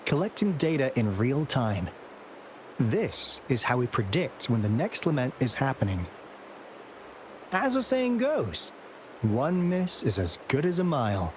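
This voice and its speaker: A man's voice: on the high side, a little hiss or hum. A man voicing a playful, theatrical young investigator in a video game, teasing and telling stories dramatically. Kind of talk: video game character dialogue.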